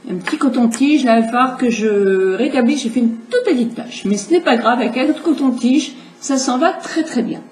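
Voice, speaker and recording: A woman's voice: fairly high pitch, animated, moderate pace, few pauses, darkish tone. A middle-aged woman with a slight cold, chatting to viewers as she demonstrates her makeup step by step. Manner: chatty, explanatory, addresses viewers directly. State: has a cold. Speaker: makeup tutorial host. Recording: echoey room with faint background hiss.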